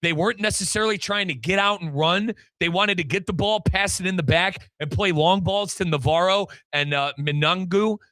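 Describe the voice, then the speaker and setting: A man hosts a soccer radio show in a bright, forceful voice that sits a little high. The sound is very dry, and he talks with emphatic, opinionated conviction. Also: normal speed, few pauses, no echo. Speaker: sports radio host. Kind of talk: animated soccer radio talk.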